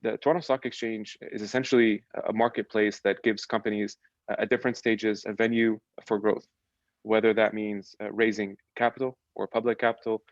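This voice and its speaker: A young man talks fast and fairly flat in a low voice, chatty and relaxed, restarting his sentences often. The dry, dull sound of a video call carries him. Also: dry recording. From Lebanon. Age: young adult. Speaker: podcast guest. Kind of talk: casual chat.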